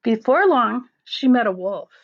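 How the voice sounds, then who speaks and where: Slow, expressive woman storyteller. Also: fairly high pitch, lo-fi sound. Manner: simple phrases with deliberate pauses for children. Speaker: teacher reading aloud to children. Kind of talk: storybook read-aloud with explanatory asides.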